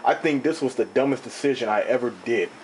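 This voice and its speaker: A young man with a slightly raspy voice, rambling and animated as he talks through an unboxing on his own channel, recorded indoors on a camera mic. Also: medium pitch, fairly quick, slight background noise, poor audio. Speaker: video host. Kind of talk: casual unboxing talk. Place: indoor room, table top.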